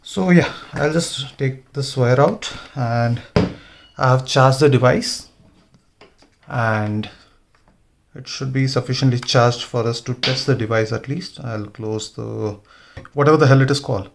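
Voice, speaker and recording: A man's voice: mid-range voice, a little slow, gravelly, background noise. A man giving an informal hands-on tutorial, thinking aloud as he works. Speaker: motovlogger demonstrating gear installation. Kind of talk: casual hands-on tutorial narration.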